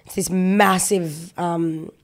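A woman's voice: fairly low pitch, fairly even intonation, takes it slowly. A woman co-hosting a podcast, chatty and a little sweary, sounding nasal from a cold. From Australia. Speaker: podcast co-host. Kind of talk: casual chat.

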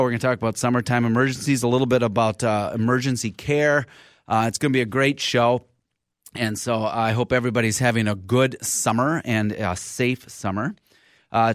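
A man's voice: medium pitch, fairly bright tone, dry recording. Chatty male radio doctor, dry studio. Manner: chatty, friendly teasing, many fillers. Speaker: radio show host, internal medicine physician. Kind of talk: casual radio health talk.

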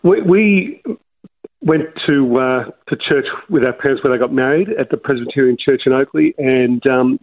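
A man's voice: mid-range voice, moderate pace, no echo. An Australian man in his sixties from Melbourne, telling his life story as an interview guest with many fillers and restarts. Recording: dry, tinny phone-like line.

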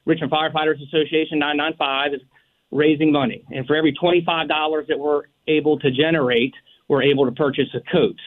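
A man's voice: a little high, steady tone, quick pace. A man calling in as a radio guest, explaining a program steadily with some fillers. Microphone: phone line. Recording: tinny phone line.

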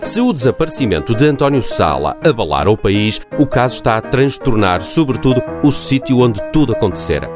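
A man's voice: medium pitch, sing-song, fairly quick, hardly any pauses. A man narrating a scripted radio drama in a tongue-in-cheek, mock-solemn way. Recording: music bed under the voice.

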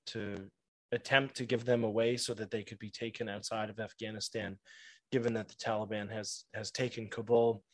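A man interviewing a guest, asking measured, articulate questions. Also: mid-range voice, darkish tone, dry recording.

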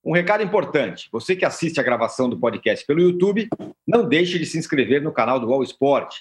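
Brazilian podcast host, slightly high voice. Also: male voice. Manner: brisk, reads a prepared rundown then questions guests. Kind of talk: scripted show opening.

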